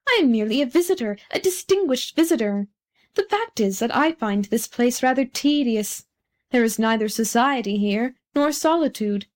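A woman's voice: fairly high pitch, lively intonation, normal speed, natural voice. A woman voicing a vain, haughty male firework rocket from a storybook, speaking in a pompous, condescending way. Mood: haughty, disdainful. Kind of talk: storybook character reading.

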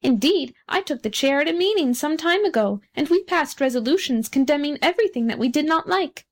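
A homely mother duck acted by a woman reader talks in a high, lifted voice. She is placid and practical, a peaceable storybook creature with a matter-of-fact way about her. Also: lively intonation, a little fast. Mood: chatty, domestic. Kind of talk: storybook character reading.

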